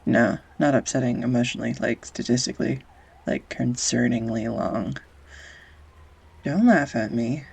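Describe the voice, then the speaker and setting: A woman playing a grumpy, sarcastic young art student who shyly confesses love in an intimate romantic audio roleplay, halting and wry. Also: a bass voice, gravelly, husky, exaggerated voice. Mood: flustered, teasing. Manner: halting, wry, flustered, sighs and soft laughs. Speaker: voice actor.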